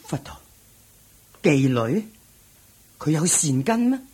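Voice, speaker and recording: An earnest monk disciple in a radio drama. He has a husky Hong Kong Cantonese voice with animated pitch, always inquisitive and respectful. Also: slow, heavily acted. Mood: curious. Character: earnest, curious male disciple of the Buddha.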